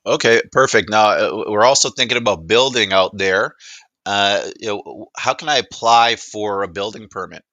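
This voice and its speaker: A man with a bright, forceful voice, pitched a little low, recorded close and dry. He speaks casually as a demo presenter, feeling his way through questions in halting phrases. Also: dry recording. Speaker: presenter demonstrating a voice assistant. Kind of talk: casual spoken product demo.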